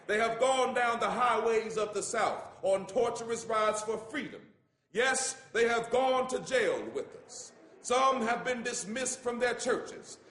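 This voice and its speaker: A man giving a measured, solemn, impassioned oratorical reading of a written letter. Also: high-pitched, fairly bright tone. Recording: echoey room.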